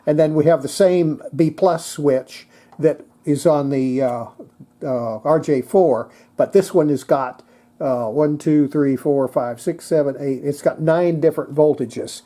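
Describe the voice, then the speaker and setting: Mellow-voiced presenter giving a halting technical demonstration. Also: mid-range voice. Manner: halting, thinking aloud. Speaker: presenter demonstrating antique radios. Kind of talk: casual hands-on technical demonstration.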